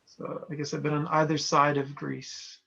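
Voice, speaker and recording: A man's voice: on the high side, flat delivery, moderate pace, gravelly, pushed and bright, husky. A man from Montana, a trainer chatting casually with online participants and reading their chat messages aloud. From Montana, USA. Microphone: video call. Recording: lo-fi video call audio.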